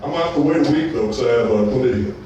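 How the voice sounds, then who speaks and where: A man's voice: medium pitch, expressive, very fast, muffled recording, very noisy, natural voice. A man voicing a crude, unraveling male road comedian who rambles with stumbling restarts. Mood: rambling. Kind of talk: stand-up set in a radio play. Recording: distant echoey live club recording.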